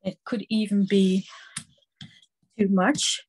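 A woman with a Dutch accent leads a live online craft class, speaking slowly and haltingly as she works. Her pitch sits at an ordinary middle level, and she often stops to fill the gaps with ums while her hands are busy at the table. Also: fairly even intonation, a little hiss or hum, rough recording. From Netherlands. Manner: slow, halting, many ums, hands-on explaining. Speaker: craft instructor.

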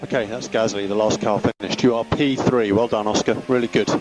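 Low-pitched male race engineer on noisy radio. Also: steady tone, fairly quick, darkish tone. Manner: procedural, repeats instructions. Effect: team radio. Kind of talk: team radio instructions and feedback. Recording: noisy car team radio. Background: car noise.

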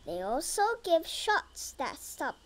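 A young girl reads a children's picture book aloud at a very slow, careful pace. Her voice is high and lively in pitch, and she sometimes trips over a word and starts it again. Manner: slow careful reading with some stumbles. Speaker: reader.

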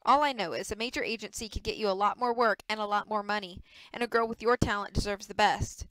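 A woman's voice: mid-range voice, fast talker, a bit bright, dry recording, lightly acted, low-quality recording. Woman playing a cocky, teasing young male star. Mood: cajoling. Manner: flippant, scornful, boastful.

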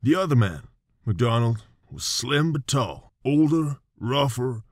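A man giving scripted narration for a radio serial, measured and storytelling. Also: mid-range voice, lively intonation, no echo, clean studio sound.

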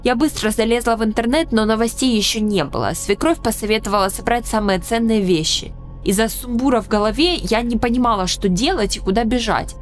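Young woman narrating solemnly over soft music. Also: on the high side, fairly quick. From Ukraine. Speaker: channel host. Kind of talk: scripted personal narration. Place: small indoor room with a studio mic. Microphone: studio microphone in frame.

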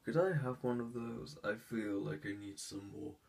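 A man's voice: mid-range voice, steady tone, moderate pace, vocal fry, far from the mic, background noise. A man voicing a haughty, sick young heir in an ASMR roleplay, formal and hesitant with fillers, stuffy with a cold and sneezing.

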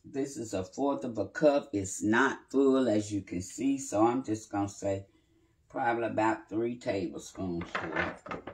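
An older woman, chatting in an unhurried way as she cooks in her home kitchen and explains each step. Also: a bass voice. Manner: unhurried, chatty, talks while working with pauses and fillers. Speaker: home cooking channel host. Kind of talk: casual cooking demonstration. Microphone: phone camera a few feet away on the counter.

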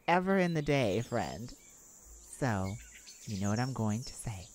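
A woman's voice: mid-range voice, darkish tone. A woman playing a chipper, silly, caring roommate and best friend in a comforting audio roleplay, with playful, theatrical phrasing. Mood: chatty, concerned. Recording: noisy, birds and insects behind. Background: nature sounds with birdsong and insects.

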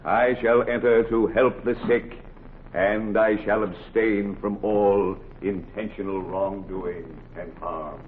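An actor playing a solemn officiant in a 1940s radio drama, ceremonial and measured phrase by phrase. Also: male voice, on the low side, steady tone, narrow, tinny audio. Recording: thin, hissy 1940s radio recording.

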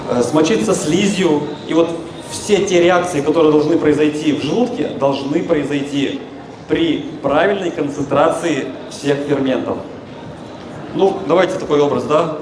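A man with a slightly high voice lectures informally on health from a stage. He explains in colloquial language and addresses his listeners directly. The sound is echoey, with clear noise from the large exhibition hall around him. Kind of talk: informal public lecture to an audience. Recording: echoey, noisy expo hall through a PA.

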